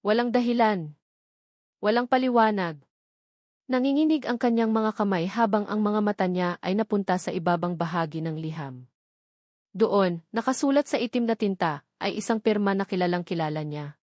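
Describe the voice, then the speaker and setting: A woman with a Filipino accent narrating a dramatic story in a measured, sentimental way. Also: mid-range voice, a little mellow, no room sound, studio quality.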